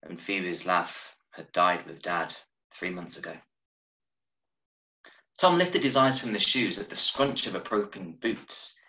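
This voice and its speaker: A man in his thirties reading a short story aloud in a measured, dramatic way, recorded at home over a video call. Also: sits low, bright, forceful tone. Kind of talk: expressive audiobook-style story narration. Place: small room at home, indoors. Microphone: video call from a desk, wearing earphones. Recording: roomy, echoing home webcam recording.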